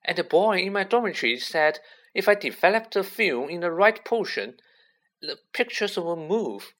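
A man's voice: very high voice, wildly swooping pitch, unhurried, bright, forceful tone, pitched above the performer's own voice, exaggerated voice. A man voicing a small, eager, awestruck schoolboy who gushes breathlessly in a storybook reading. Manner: breathless, gushing chatter.

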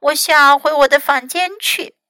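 A tiny little fox boy from a storybook, as a woman puts him on. The voice is squeaky and lifted very high, bright and small. He sounds plaintive and demanding, like a whiny child giving orders. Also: fairly even intonation, moderate pace, dry recording. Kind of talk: bilingual children's storybook voicing.

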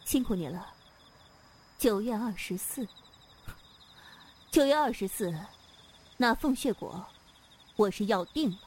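A woman's voice: on the high side, lively intonation, a little slow, pauses often, a little mellow, slight background noise. A woman playing a proud, capricious female martial sect leader in a Chinese wuxia radio drama, haughty and teasing. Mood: teasing, softened.

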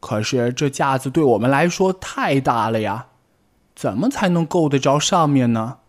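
A man's voice: medium pitch, expressive, moderate pace, pitched above the performer's own voice, lightly acted. A man voicing a clumsy, hasty male rabbit in a storybook, chirpy and a little whiny.

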